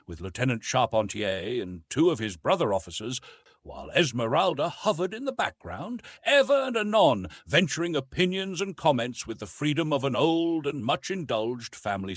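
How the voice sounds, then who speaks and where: A man's voice: medium pitch, very animated, normal speed, slightly rough, no echo, studio quality. A man narrating an adventure novel as an audiobook, reading scripted prose expressively.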